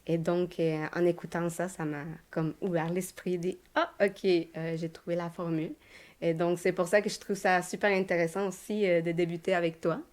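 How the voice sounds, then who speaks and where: A woman's voice: on the low side, fairly even intonation, normal speed, a little mellow. A woman with a Québécois French accent hosting a podcast, reading a scripted intro, then chatting with many fillers and restarts. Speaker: podcast host.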